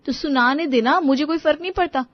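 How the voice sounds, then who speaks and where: Young, fed-up daughter-in-law, played by a woman, high and gossipy, grumbling, dry lo-fi story audio. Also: fairly quick, no room sound, close to an everyday voice. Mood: exasperated. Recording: dull lo-fi audio.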